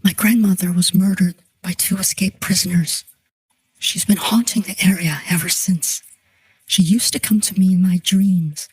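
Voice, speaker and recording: A woman in her thirties playing an earnest, troubled client who recounts her haunting in a measured, scripted dramatic monologue. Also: fairly low pitch, fairly even intonation, breathy edge, dry recording, rough recording. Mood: earnest, wistful. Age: 30s. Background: soft music.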